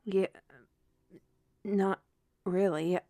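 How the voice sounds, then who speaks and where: A woman's voice: medium pitch, steady tone, very slow, dark tone, dry recording. A woman playing a troubled, self-reproaching young writer in an intimate audio drama, speaking in a hesitant, weary way. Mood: anguished.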